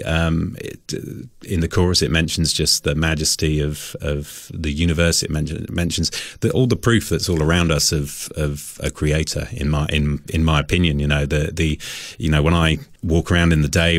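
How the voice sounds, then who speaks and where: A man with an extremely deep voice speaks as a guest in a radio interview. He is reflective and halting, often repeating a word while he thinks aloud. The sound is dry, with no room around it. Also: no echo. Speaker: singer-songwriter, interview guest.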